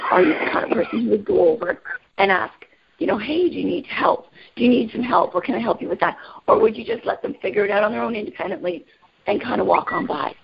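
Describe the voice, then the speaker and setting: A woman with a raspy voice today, leading a motivational group call in an upbeat, energetic way and asking the group questions. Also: medium pitch, lo-fi sound. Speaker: team call leader.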